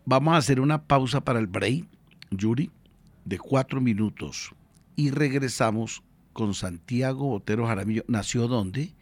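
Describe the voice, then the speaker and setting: A man with a Colombian accent hosting an informal radio interview, slangy, teasing and quick to interrupt. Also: medium pitch.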